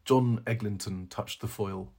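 A man reading a literary novel aloud in an expressive narrating style, with a husky voice. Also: on the low side, measured pace.

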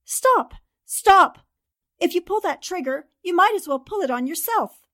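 A woman playing a sharp, commanding newspaper columnist who questions briskly in a 1940s-style radio drama. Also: extremely high pitch, expressive, heavily acted. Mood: urgent.

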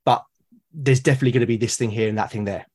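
A British man speaking as a podcast guest in casual conversation, haltingly and often repeating words as he thinks aloud. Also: medium pitch, darkish tone, husky. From Britain. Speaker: podcast guest, solo roleplaying gamer.

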